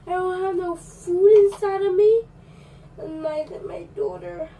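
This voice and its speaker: A woman's voice: very high-pitched, steady tone, measured pace, vocal fry, dark tone. A young girl with a childlike voice, play-acting an improvised puppet show in a theatrical, whiny way.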